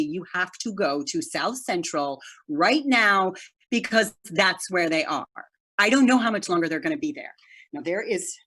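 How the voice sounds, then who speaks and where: A woman playing a frantic, entitled wealthy mother in a play performed as a video call, imperious, agitated and halting. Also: lowish voice, slightly rough. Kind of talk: one-act play monologue on a video call.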